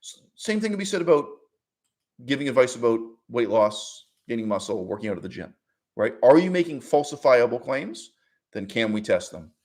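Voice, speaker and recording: A man giving argumentative online commentary in an emphatic, sardonic way, with rhetorical questions and deliberate repetition. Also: mid-range voice, sing-song, a little mellow. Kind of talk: argumentative commentary with read-out quotes.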